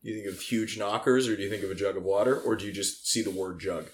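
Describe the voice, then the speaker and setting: A man with a fairly low voice trades crude banter in a relaxed chat. He delivers absurd jokes deadpan and slips into mock anger for laughs. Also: fast talker, slight background noise. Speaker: stand-up comedian.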